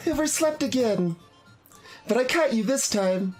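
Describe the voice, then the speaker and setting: A man's voice: very high-pitched, lively intonation, takes it slowly, faint music behind, pitched above the performer's own voice, full character voice. A man voicing a cheerful, clingy, caring teenage girl from an anime visual novel, bubbly and pleading. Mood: playful.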